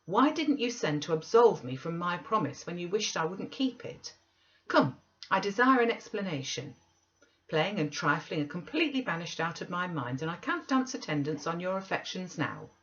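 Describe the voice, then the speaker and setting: A woman's voice: fairly low pitch, sing-song, moderate pace, fairly bright tone, voice pushed up. Woman doing a proud young lady, impatient, imperious, scornful scolding, audiobook drama, slightly lo-fi sound. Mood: impatient, demanding.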